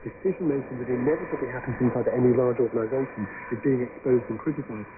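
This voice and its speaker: A man's voice: medium pitch, fast talker, narrow, tinny audio. A man giving analytical commentary as a regular contributor on a radio programme. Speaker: studio commentator. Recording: noisy thin shortwave radio reception.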